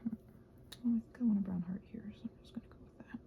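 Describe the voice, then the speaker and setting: A woman chatting casually while crafting, soft and often dropping to a near-whisper, hesitant and thinking aloud, with playful little exclamations. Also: medium pitch, fairly even intonation, racing, creaky, narrow, tinny audio. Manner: hesitant, thinking aloud, trailing off mid-sentence. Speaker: host of a crafting ramble channel. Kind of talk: casual rambling craft chat, ASMR-like.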